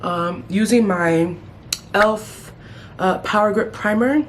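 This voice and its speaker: A woman in her thirties chatting casually as a beauty vlogger while doing her makeup, playful, with sudden high exclamations. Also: slow pace, slight background noise. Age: 30s. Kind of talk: casual get-ready-with-me chat.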